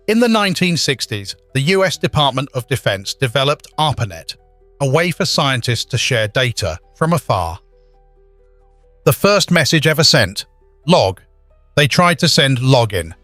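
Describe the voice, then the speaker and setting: A man's voice: medium pitch, fairly bright tone, dry recording. A man voicing a learned stone puppet giving a comic educational talk, didactic and dryly humorous. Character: learned, wise talking stone puppet lecturer. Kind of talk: comedic educational monologue.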